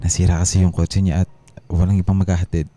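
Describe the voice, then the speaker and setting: An actor playing an evasive, irritable cheating husband in a radio drama, halting when cornered. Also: male voice, low voice, level tone, warm tone, close to an everyday voice. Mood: defensive. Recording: music behind the dialogue.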